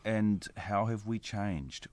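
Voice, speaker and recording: A man's voice: fairly low pitch, slow, darkish tone, dry recording. A man with a New Zealand accent hosting a radio interview, playful and curious as he asks questions. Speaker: radio host. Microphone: studio microphone.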